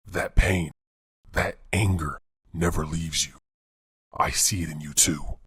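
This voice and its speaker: Very deep, husky brooding vigilante. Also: male voice, fairly even intonation, a bit bright, no room sound, clean studio sound, heavily acted. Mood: somber, probing. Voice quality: gravelly, husky. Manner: grave, deliberate, measured. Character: brooding, haunted adult male vigilante hero. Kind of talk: dramatic comic dub acting.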